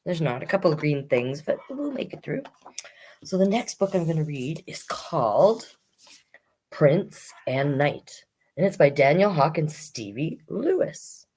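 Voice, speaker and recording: A woman's voice: low-pitched, sing-song, unhurried, darkish tone, breathy edge, low-quality recording. A woman hosting a children's storytime, reading picture books expressively with chatty asides to young listeners.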